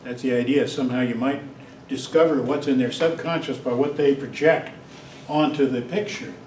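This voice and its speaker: A man's voice: mid-range voice, fairly even intonation, normal speed, darkish tone. Chatty male lecturer in an echoey hall. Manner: chatty, digressive, talks directly to students. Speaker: psychology lecturer. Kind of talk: informal classroom lecture. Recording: roomy hall, distant mic, faint hiss.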